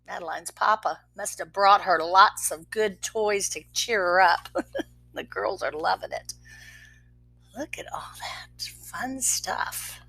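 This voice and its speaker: A woman reading a picture book aloud to children, expressive, with soft whispered asides between the verses. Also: mid-range voice, slow, creaky, slightly rough, poor audio. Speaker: children's story reader.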